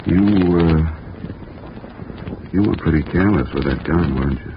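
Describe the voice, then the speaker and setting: An actor playing a wisecracking tough insurance investigator who narrates a 1950s radio drama in a dry, sardonic, clipped way. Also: male voice, extremely low pitch, normal speed, background noise. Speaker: narrator and lead detective. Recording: lo-fi 1950 radio broadcast with loud music.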